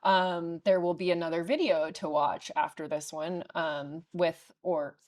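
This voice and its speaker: A woman's voice: fairly low pitch, unhurried. A woman hosting a podcast from her living room, chatting casually with a guest, laughing often, with a soothing voice. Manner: laughs often, relaxed, many fillers. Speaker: podcast host. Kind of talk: casual chat.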